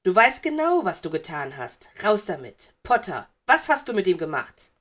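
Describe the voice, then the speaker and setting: A woman's voice: mid-range voice, lively intonation, moderate pace. Lady doing a grumpy sarcastic wizard teacher guy in an audiobook, curt and impatient. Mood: snapping anger. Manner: curt, sardonic questioning. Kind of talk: audiobook character voice.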